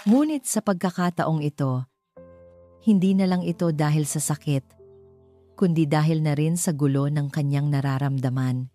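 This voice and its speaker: A woman reading a scripted romance story in a measured, sentimental, dramatic storytelling manner. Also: deep voice, mellow. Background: background music.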